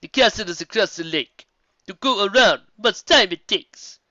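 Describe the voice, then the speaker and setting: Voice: medium pitch, lively intonation, normal speed, pushed and bright, dry recording, voice pushed up. A reader voicing a wise female zebra healer who speaks in formal rhyme, on a dry, slightly dull recording. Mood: solemn. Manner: rhyming, formal and grave.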